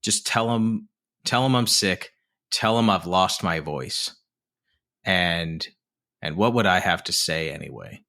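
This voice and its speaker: A man's voice: sits low, slow, vocal fry, bright, forceful tone. A young man co-hosting a film podcast, talking casually and thoughtfully with frequent restarts. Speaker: podcast co-host.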